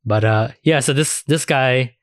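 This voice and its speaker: A man's voice: medium pitch, unhurried, no room sound, studio quality. A man co-hosting a podcast, chatting informally and crudely about anime with plenty of swearing. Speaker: podcast co-host. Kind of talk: casual chat about anime.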